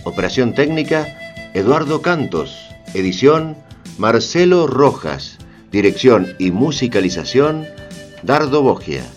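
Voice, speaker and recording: Slow, declamatory male radio announcer. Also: medium pitch, sing-song, faint music behind. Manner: formal, declamatory. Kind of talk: scripted radio drama announcement, closing credits.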